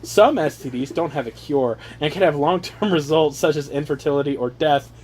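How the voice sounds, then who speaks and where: A man casually reading aloud and riffing on the text with sarcastic, profane, animated asides, on an amateur home recording. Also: a little high, normal speed, slight background noise. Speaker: reader riffing on a handbook aloud to his brothers. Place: casual room with brothers chiming in.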